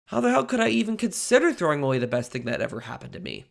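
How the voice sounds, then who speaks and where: A young man playing an earnest, excitable teenage amateur superhero in a comic dub, with some self-deprecation. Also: a little high, racing, gravelly. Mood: wry, excited narration. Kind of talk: comic book dub acting, first-person narration.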